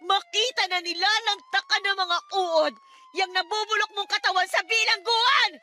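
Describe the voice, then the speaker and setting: A woman's voice: extremely high pitch, moderate pace. A woman playing a defiant, scornful young housemaid bent on revenge in an emotionally charged radio drama. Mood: vengeful. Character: bitter, vengeful young housemaid confronting her abusive stepfather. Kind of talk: Tagalog radio drama acting. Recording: music bed under the voice.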